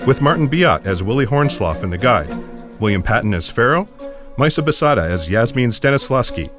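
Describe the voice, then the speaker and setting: A man's voice: medium pitch, big pitch swings, brisk, a bit bright. A man reading scripted closing credits as an announcer. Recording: music behind the voice.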